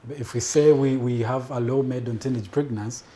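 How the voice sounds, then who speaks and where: A male host with a mellow, darker tone steers a studio panel, interjecting short agreements and questions. His voice sits at a middle pitch. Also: fairly even intonation, brisk. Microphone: lapel mic.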